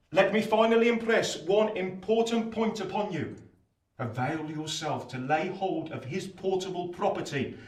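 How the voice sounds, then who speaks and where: A discreet, careful clerk in a radio drama, with a lightish, higher voice. He speaks in measured, emphatic circumlocutions. The recording is echoey and set back from the microphone. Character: cautious, discreet male clerk giving careful advice. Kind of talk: radio play acting. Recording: roomy echo, distant from mic.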